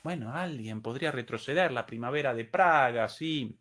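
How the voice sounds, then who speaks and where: A man's voice: mid-range voice, animated, a little fast, a bit bright. A man hosting live political commentary on a broadcast. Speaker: political show host.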